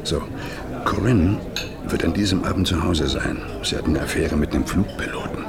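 An actor playing a scheming middle-aged husband who calmly lays out a murder plan in a radio drama. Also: male voice, extremely low pitch, normal speed, over a noisy crowd. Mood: calm menace. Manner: calm, businesslike, quietly menacing.

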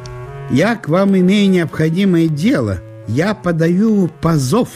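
A man playing a prim, offended provincial gentleman who speaks in a pompous, emphatic, indignant way in a theatrical audiobook. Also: sits high, animated, measured pace, soft background music, pitched above the performer's own voice. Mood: pompous, formal.

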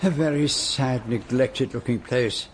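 An actor playing a courteous, precise, dryly understated gentleman detective with a Belgian French accent in a radio drama. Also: medium pitch, unhurried, slight background noise, natural voice. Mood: polite, curious. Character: courteous, observant middle-aged foreign gentleman detective. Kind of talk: radio drama acting and narration.

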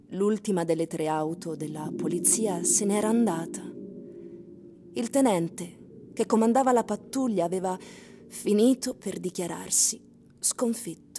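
An actress playing a solemn storyteller, narrating an eerie science-fiction radio drama with deliberate, suspenseful literary phrasing. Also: female voice, fairly low pitch, mellow, slight background noise. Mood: subdued, grave.

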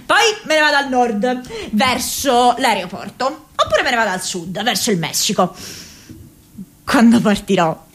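Young idealist woman activist, diary monologue for radio theatre, very animated pitch, restless and ironic, pauses a lot. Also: full character voice. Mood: defiant, wistful.

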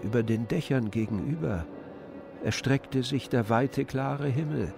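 Creaky-voiced male radio-play narrator. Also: medium pitch, a little slow, music in the background.

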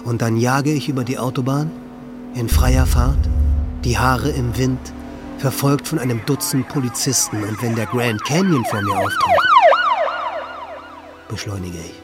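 An actor playing a weary, dreamy man slowly losing his mind, in a radio play. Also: medium pitch, wildly swooping pitch, loud music behind the voice. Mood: dreamy, determined. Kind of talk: German radio play acting, interior monologue and dialogue.

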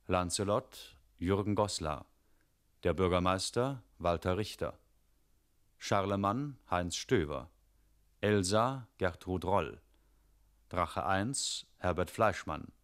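Low voiced guy, formal radio announcer, slow and careful, reading a script. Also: fairly bright tone.

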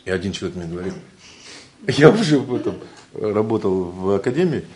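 A man's voice: lowish voice, animated, a little fast, darkish tone, a little hiss or hum. A man from Siberia with a Siberian Russian accent, giving an informal spiritual lecture in a joking, rambling way and laughing often.

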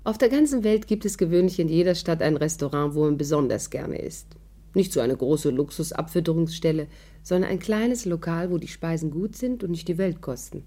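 A woman's voice: low-pitched, lively intonation, fairly quick, dark tone. An actress playing a witty, spirited, worldly woman in a 1950s radio drama, wry and articulate as she narrates and talks. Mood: lively storytelling.

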